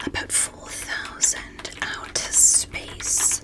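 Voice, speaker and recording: A woman whispering close to the microphone in a gentle, careful ASMR roleplay as a polite, curious alien medical examiner. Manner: gentle, slow, careful step-by-step explaining.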